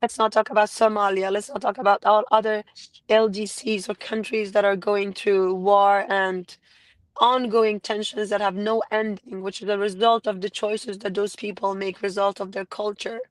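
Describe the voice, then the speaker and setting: A woman's voice: mid-range voice, little pitch movement, moderate pace, no room sound. A woman from Afghanistan speaking as an interview guest, talking politics in an earnest, insistent way with frequent restarts.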